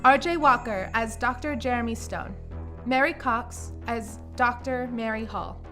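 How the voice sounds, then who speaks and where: A woman's voice: on the high side, measured pace, a bit bright, faint music behind. A woman reading scripted credits as an announcer for an audio drama.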